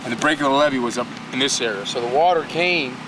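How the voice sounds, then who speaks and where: Frank worker explaining, noise behind. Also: male voice, mid-range voice, fast. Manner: frank, explaining, points at a map. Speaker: cleanup worker. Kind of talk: casual street chat. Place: outdoors at a work site.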